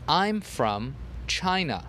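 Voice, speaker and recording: A man teaching a podcast language lesson, repeating example phrases slowly for learners. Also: a little high, big pitch swings, bright, forceful tone, a little hiss or hum.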